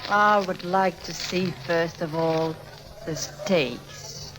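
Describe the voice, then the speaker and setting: A woman's voice: on the low side, slow pace, poor audio. An actress playing a genteel, coy and shrewd baroness in a 1950s radio drama, refined and hesitant in her phrasing. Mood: cool, shrewd.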